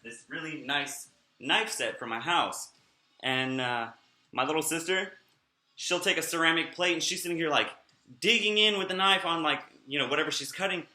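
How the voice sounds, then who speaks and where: A man's voice: on the high side, normal speed, pushed and bright, roomy sound. A young man vlogging in a casual, rambling way, sounding nasal and slurring his words a little. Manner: rambling, off-topic, chatty.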